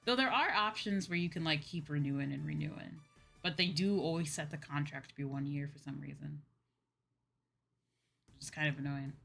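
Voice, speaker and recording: A woman's voice: low-pitched, moderate pace, creaky, a bit bright, breathy edge. A young woman, a livestream host chatting casually in an informal, rambling way and repeating phrases for emphasis. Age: young adult. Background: background music.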